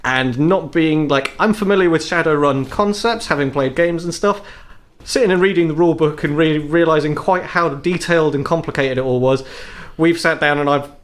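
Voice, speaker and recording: A man's voice: on the high side, moderate pace. A man introducing a tabletop game as game master, casual, upbeat and wordy.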